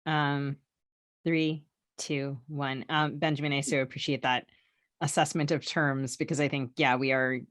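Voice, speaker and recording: A woman's voice: sits low, little pitch movement, measured pace. Female podcast co-host, conversational interviewer posing long, detailed questions.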